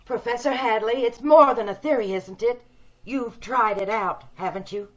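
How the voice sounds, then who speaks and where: A woman's voice: a little high, moderate pace, lightly acted. A woman playing a homely, probing woman who yearns for beauty, questioning insistently in old-time radio drama style, over dull video-call audio.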